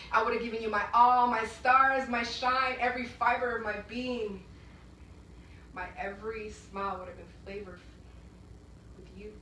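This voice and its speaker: A young woman from Rwanda performing spoken-word poetry live in a small art gallery, with emphatic, rhythmic delivery and sudden loud bursts, between pieces chatting to the audience. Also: medium pitch, a little hiss or hum. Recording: echoey gallery room, mic far off.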